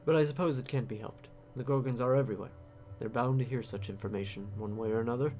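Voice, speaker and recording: A guarded, calculating man from a visual novel, read out by a male player. He bargains in a coolly casual, pressing way at a middling pitch, with faint music underneath. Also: fast, darkish tone, lightly acted. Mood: measured, explanatory.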